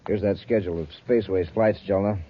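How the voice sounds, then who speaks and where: An actor playing an eager, crooked henchman with a rough voice in a 1950s radio serial. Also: low-pitched, fairly even intonation, quick pace, slight background noise, lightly acted. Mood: conspiring. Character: eager crooked male henchman, admiring his boss. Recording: tinny, band-limited old radio recording.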